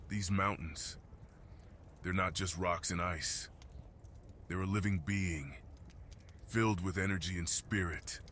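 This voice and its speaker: Casting: male actor as gentle, lonely mountain guardian beast for ASMR fantasy roleplay; very low register, solemn and reassuring, some background ambience. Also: a little hiss or hum. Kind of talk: ASMR fantasy roleplay, slow intimate monologue.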